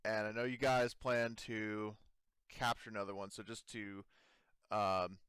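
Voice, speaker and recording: Guy game mastering, clear smooth voice, kinda low, halting casual narration, dry sound. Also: little pitch movement, a bit bright, no echo. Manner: halting, conversational.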